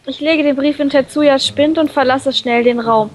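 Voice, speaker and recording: A woman's voice: sits high, fairly even intonation, moderate pace. A woman reading a visual novel aloud in a casual way, as a co-reader in a let's play. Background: background game music.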